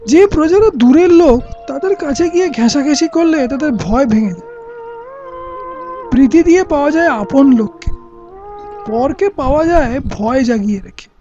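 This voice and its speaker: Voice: high-pitched, lively intonation, normal speed, mellow. An actor playing a stern, suspicious king in an audio play, speaking in a formal, questioning, imperious way. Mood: brooding, displeased. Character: stern, suspicious king, guarded about his rebellious heir. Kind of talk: scripted Bengali audio play acting. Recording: dull lo-fi recording with music.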